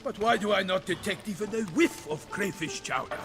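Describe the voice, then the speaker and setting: An actor playing a proud, earnest knight errant in a video game, speaking in a formal, flowery, theatrical way. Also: male voice, high voice, lively intonation, fairly quick. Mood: courtly, earnest. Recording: music behind.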